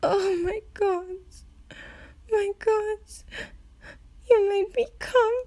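A woman playing a proud, distressed adult demigoddess in an audio roleplay, with halting, breathy exclamations. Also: very high voice, steady tone, darkish tone, full character voice. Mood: distressed, pleading.

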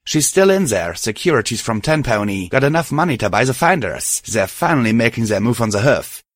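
Man voicing a gruff, husky mare caravanner. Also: medium pitch, big pitch swings, a little fast, no room sound, studio quality. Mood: scornful. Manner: spitting, gruff. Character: tough, crude grey mare caravanner.

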